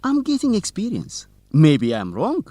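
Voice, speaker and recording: A man's voice: high-pitched, huge pitch swings, measured pace, warm tone, no room sound, heavily acted. An actor playing a determined, teasing young male student reporter in an educational radio drama, in plain, simple sentences. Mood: determined, suspicious.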